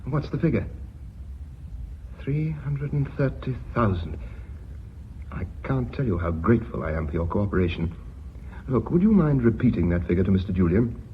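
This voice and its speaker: A man's voice: on the low side, very animated, normal speed, pauses often. A man playing a deferential but shrewd bookkeeper in a British radio drama, speaking politely and precisely with a British accent. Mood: polite, pleased. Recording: muffled, noisy old radio recording.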